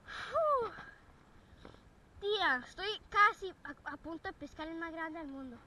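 High bright excitable voice outdoors. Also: female voice, measured pace, a little hiss or hum. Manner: squealing and shouting at each catch. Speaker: angler filming her catch. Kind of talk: excited casual chat while fishing. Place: outdoor wooden boardwalk by a lake. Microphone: head-mounted action camera.

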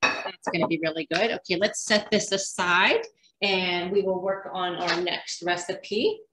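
A woman's voice: lowish voice, steady tone, unhurried, noisy background. A woman teaching a casual cooking demonstration, chatty and rambling with frequent ums and restarts. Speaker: dietitian cooking instructor.

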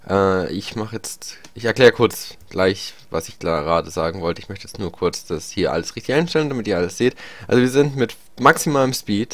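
A young man with a slight cold talks through a gameplay tutorial, chatty and hesitant, often pausing on fillers. His voice sits fairly low. The recording is dry and close, with no room sound around it. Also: no room sound. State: slight cold, clearing throat. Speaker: gaming YouTuber.

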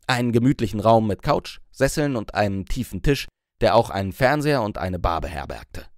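A man narrating a scripted audiobook story in an expressive, measured way. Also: on the low side, no room sound, clean studio sound.

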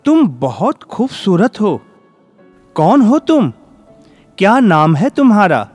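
A man voicing a curious, admiring crow in a children's fable, earnest and expressive. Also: high-pitched, takes it slowly, no echo, faint music behind, full character voice.

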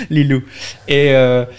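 A man's voice: medium pitch, clear, resonant tone. A young man from Switzerland, a guest on a podcast, telling his story casually with many fillers and restarts into a studio microphone. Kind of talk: candid storytelling interview. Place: dark podcast studio with red light. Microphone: studio mic on boom arm, headphones.

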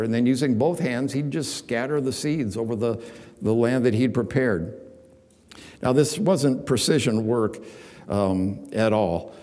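Older male church elder, mid-range voice, measured teaching sermon, long hall reverb. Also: medium pitch, a little mellow. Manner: measured, plain teaching delivery with small restarts. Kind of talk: sermon with scripture reading. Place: church stage behind a lectern, indoors.

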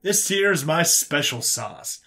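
Breezy human interrogator played by a male narrator, pitched up and swinging, folksy sci-fi storybook good guy. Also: a little slow. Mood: folksy, enthusiastic. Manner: folksy, calm, drawling colloquial phrases.

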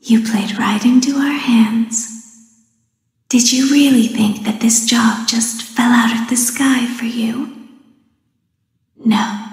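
Echoing, whispery sinister schemer. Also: female voice, a little high, fairly even intonation, slow, long pauses, a little mellow, soft background music. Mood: menacing, gloating. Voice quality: breathy whisper. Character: sinister, taunting female schemer. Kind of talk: eerie horror game voice. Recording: long echoing reverb.

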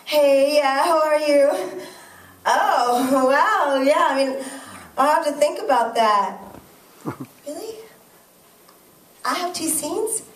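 A woman playing a struggling young actress on the phone in a stage monologue, halting and eager to please. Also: high voice, animated. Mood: excited, hopeful. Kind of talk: one-sided phone call in stage monologue. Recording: echoey room, distant microphone.

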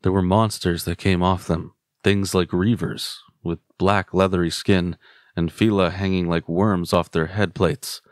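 A stern captain of the guard in a fantasy audio drama. His voice sits low, and he speaks with grave, measured weight. The sound is dry. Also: flat delivery, no room sound. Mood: grave alarm.

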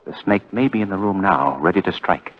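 A muffled 1940s radio broadcast carries a calm, commanding male detective. His voice is low and swings widely in pitch. He speaks with urgent authority, in old radio-thriller style. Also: a little fast, a little hiss or hum. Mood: hushed urgency. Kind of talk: 1940s radio drama acting.

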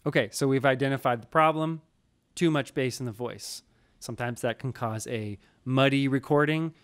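A man in his thirties teaching audio editing in a relaxed, explanatory way, recorded on a studio microphone in a small home studio. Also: medium pitch, moderate pace. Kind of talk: screen-recorded tutorial. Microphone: studio mic in frame, wearing headphones.

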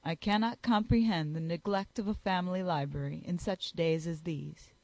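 A woman reading aloud, voicing a proud, reserved young gentleman with a cool, measured delivery in a lowered voice. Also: no echo, lightly acted.

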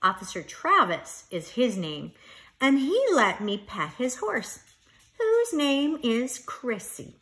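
Middle-aged lady reading a picture book to kids, bright voice, takes her time. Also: fairly high pitch, slow. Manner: expressive, teacherly. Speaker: children's storybook reader. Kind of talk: animated read-aloud of a children's story. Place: living room at home, indoors.

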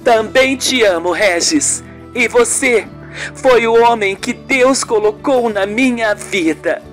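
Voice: mid-range voice, slow, faint music behind, lightly acted. A performer voicing an anxious, devout woman in love in an amateur radio soap, melodramatic and heartfelt. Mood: tender.

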